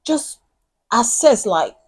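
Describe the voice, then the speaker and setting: A woman's voice: fairly high pitch, very animated, slow, darkish tone, husky. A woman guest in a casual talk, speaking earnestly and acting out her own thoughts as she tells stories. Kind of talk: casual chat.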